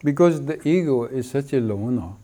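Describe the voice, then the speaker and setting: Calm man teaching in short phrases. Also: medium pitch. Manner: gentle, unhurried, speaking in short phrases for an interpreter. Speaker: spiritual teacher. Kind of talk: slow spoken teaching.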